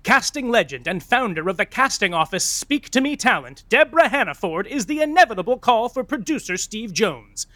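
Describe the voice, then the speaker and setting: An actor playing a flamboyant, gushing narrator of a Broadway comedy, with an arch, dramatic delivery. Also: male voice, high voice, very animated, a little fast, few pauses, sharp tone, no room sound, full character voice. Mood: arch, teasing. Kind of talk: theatrical comic narration.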